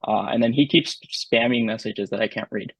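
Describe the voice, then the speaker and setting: A man speaking as an interview guest in a casual, halting way, full of ums and likes. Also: fairly low pitch, husky, dry recording, poor audio. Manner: halting, filler-heavy, lots of "like" and "um". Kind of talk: casual conversation.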